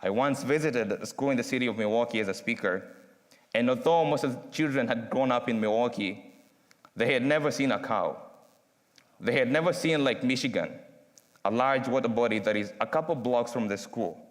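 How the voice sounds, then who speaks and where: A young man from Kenya with a Kenyan accent, giving an earnest, measured student speech with dramatic pauses. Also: medium pitch. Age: young adult. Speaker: student speaker. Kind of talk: prepared speech read aloud. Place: university chapel. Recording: long reverb from a large chapel.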